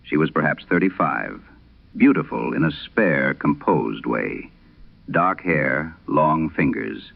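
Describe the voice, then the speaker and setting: A male actor as a haunted old Irish priest confessing in a horror radio play. His low voice is gravelly and grave, rising and falling dramatically as he speaks in measured, solemn phrases. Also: no echo, heavily acted. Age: older. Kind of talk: dramatic first-person horror radio monologue. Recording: dull lo-fi vintage radio sound.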